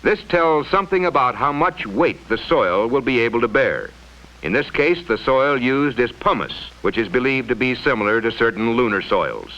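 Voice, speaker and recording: Casting: male narrator for a mid-century science film, explanatory and formal, animated intonation, muffled lo-fi vintage sound. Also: mid-range voice.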